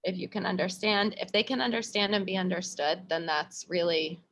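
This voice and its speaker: A woman language teacher presenting a workshop on a video call. She talks with eager agreement, often restarting her sentences with "like." Her voice sits a little low for a woman. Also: normal speed, fairly bright tone, a little hiss or hum. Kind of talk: casual webinar discussion.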